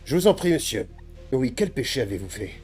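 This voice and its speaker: A man's voice: medium pitch, very animated, brisk, a little mellow, faint music behind, lightly acted. A man improvising a cheeky, mock-solemn priest in an online roleplay game, with formal, polite phrasing that slips into crudeness. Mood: courteous. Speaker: gaming video creator playing a priest.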